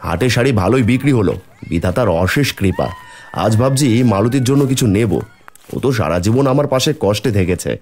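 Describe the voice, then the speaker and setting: A man playing an honest, kindly village sari seller and family man, gentle and earnest, in a moral-tale cartoon. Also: mid-range voice, lively intonation, fairly quick, slight background noise, natural voice. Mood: earnest, grateful.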